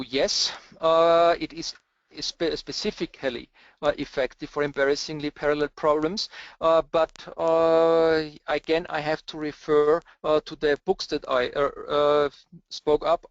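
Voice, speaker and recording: Austrian man, hesitant presenter, slightly high voice, dry lo-fi webinar sound. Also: flat delivery, a little slow, clean tone, no room sound. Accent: Austrian German. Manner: hesitant, many filler sounds. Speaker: presenter, cluster architect. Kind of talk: webinar Q&A answers. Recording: dull lo-fi webinar audio, dry.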